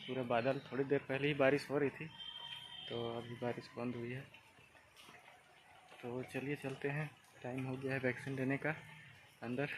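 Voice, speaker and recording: A young man walking through rural lanes and a street market, narrating a casual travel vlog to his viewers on a handheld phone. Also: medium pitch. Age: young adult. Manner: chatty tour-guide commentary, addressing viewers as friends. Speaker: travel vlogger. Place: outdoors, rural roads and busy street market. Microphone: handheld phone camera. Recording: outdoor phone recording with street noise.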